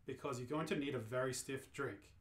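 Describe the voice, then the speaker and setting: A performer playing an urgent, cryptic male alien friend in an amateur radio play, deadpan and insistent. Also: medium pitch, a little fast, voice pushed down, lightly acted. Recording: roomy, echoing live reading.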